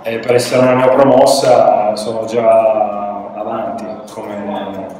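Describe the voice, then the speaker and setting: A young footballer speaking in a low, flat, even voice, matter-of-fact about the match. He sits back from a table mic in a very echoey room. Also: takes it slowly, far from the mic, slight background noise. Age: young adult. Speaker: football player. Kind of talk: post-match press conference. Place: press room with a sponsor backdrop. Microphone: table mic on a stand.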